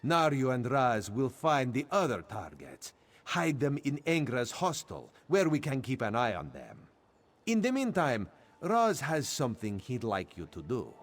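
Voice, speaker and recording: A sly cat-folk spy. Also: male voice, medium pitch, huge pitch swings, a little slow, exaggerated voice. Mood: commanding. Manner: refers to himself by name, playful yet menacing. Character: sly male cat-folk spy, speaks of himself in third person. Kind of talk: video game dialogue.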